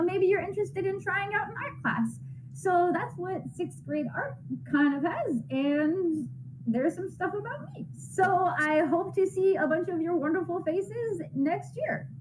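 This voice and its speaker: A woman art teacher with a very high voice talks in a relaxed, chatty way about her class. Clear background noise runs behind her voice. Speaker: middle school art teacher. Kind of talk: casual recorded class introduction.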